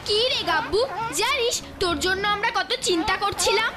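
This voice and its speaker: A woman's voice: extremely high pitch, fairly quick. A woman voicing a sensible, caring young friend in a children's cartoon adventure. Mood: concerned. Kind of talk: cartoon voice acting. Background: music.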